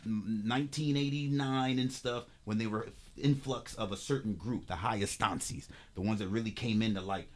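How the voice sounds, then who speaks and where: A man in his forties from the San Fernando Valley, telling stories in a streetwise, animated way with lots of slang. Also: lowish voice, normal speed, few pauses. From San Fernando Valley, California. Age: 40s. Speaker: guest. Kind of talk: casual chat.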